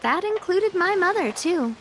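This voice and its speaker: A woman's voice: extremely high pitch, expressive, a little slow, noisy background. A woman playing a poised, friendly young female boss in a video game, speaking politely, candidly and in an easygoing way. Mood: candid, wry.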